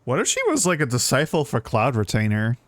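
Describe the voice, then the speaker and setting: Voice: mid-range voice, big pitch swings, brisk. A game streamer giving casual, off-the-cuff commentary while playing. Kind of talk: casual live gameplay commentary. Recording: clearly noisy background with clicks.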